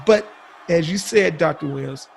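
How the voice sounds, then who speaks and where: A man on a panel discussion, talking emphatically in a husky, gravelly voice and laughing at his own wordplay. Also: a little high, normal speed. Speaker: guest panelist.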